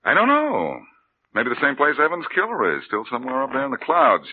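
A man's voice: medium pitch, huge pitch swings, a little fast. An actor playing a wisecracking male detective who questions people with dry sarcastic quips, in 1940s radio acting. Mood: probing. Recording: tinny lo-fi old radio recording with faint hiss.

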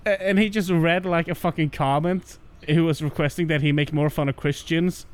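A man's voice: fairly high pitch, steady tone, normal speed, clean tone. A young man co-hosting a podcast, chatting casually with crude jokes and frequent laughs. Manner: crude, joking, laughs often. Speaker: podcast co-host. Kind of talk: casual chat.

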